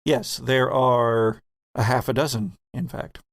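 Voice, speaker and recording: A man's voice: medium pitch, steady tone, slow pace, dry recording, clean studio sound. A man narrating a horror tabletop roleplaying game as game master, in a descriptive storytelling manner.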